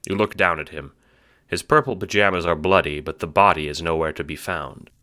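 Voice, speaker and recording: A man's voice: sits low, brisk, bright, forceful tone. A man reading scripted second-person narration for an audio drama. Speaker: narrator and writer.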